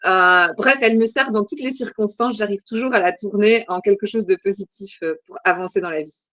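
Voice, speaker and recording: A woman's voice: medium pitch, a little fast, fairly bright tone, no echo. A woman in her forties from Belgium, a podcast guest answering questions in a thoughtful, hesitant way with many fillers. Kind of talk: casual interview answers.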